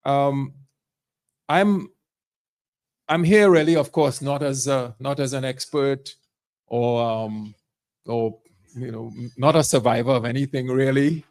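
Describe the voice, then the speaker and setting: A man and career diplomat giving a keynote speech at a charity evening, speaking in a halting way with repeated words. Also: medium pitch, darkish tone. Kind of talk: speech at an event.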